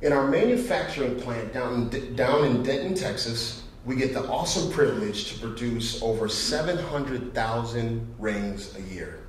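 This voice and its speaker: A man's voice: medium pitch, lively intonation, moderate pace, few pauses. Middle aged guy doing an award speech on stage, folksy, super echoey and kinda far from the mic. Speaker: guest presenter, company manager. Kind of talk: award presentation speech to an audience. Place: stage in an auditorium. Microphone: podium microphone. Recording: very echoey hall, distant, faint noise.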